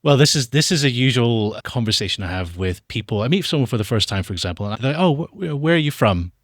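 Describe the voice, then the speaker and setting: A man with a soft, international Scottish lilt, telling stories conversationally as an interview guest. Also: medium pitch, lively intonation, fast, no echo, clean studio sound. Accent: soft Scottish lilt mixed with international. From Australia, Dubai and Texas, raised internationally. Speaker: podcast guest, documentary filmmaker.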